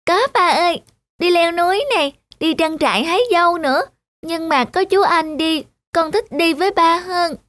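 Woman voicing a very high-pitched, plaintive little girl. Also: moderate pace, fairly bright tone, no echo. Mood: earnest. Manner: plaintive, childlike. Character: sad, clingy little girl attached to her father. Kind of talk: cartoon voice acting. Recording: music behind the voice.

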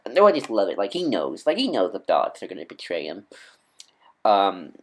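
Guy with a low nasally voice doing an off the cuff fan vlog, kinda rambly, keeps stopping and rewording. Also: wildly swooping pitch. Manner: rambling, halting, self-correcting. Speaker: YouTube vlogger and podcaster. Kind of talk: casual off-the-cuff fan commentary.

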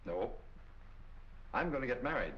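A man's voice: mid-range voice, big pitch swings, normal speed, a little hiss or hum, natural voice. A young actor playing a defiant, clean-cut businessman's son in a 1940s film, clipped and determined. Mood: earnest. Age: young adult. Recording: muffled old 1940 film soundtrack.

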